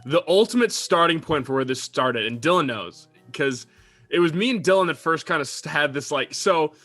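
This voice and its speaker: Animated young man with swooping pitch. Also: moderate pace, faint music behind. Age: young adult. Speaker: podcast guest. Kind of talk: casual banter.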